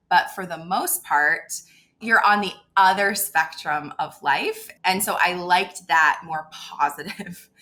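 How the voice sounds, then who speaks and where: A woman in her thirties who grew up in Ecuador, talking casually as an interview guest and filling her speech with "like". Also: lowish voice. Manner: chatty, animated, many "like" fillers. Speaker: guest, labor and delivery nurse.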